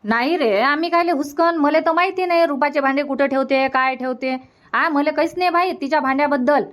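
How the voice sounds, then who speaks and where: Woman voicing a high-pitched grumbling cartoon mother. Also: brisk, fairly bright tone. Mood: defensive. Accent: Varhadi Marathi from Vidarbha. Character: grumbling, doubting middle-aged mother of a grown son. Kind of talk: cartoon comedy dubbing.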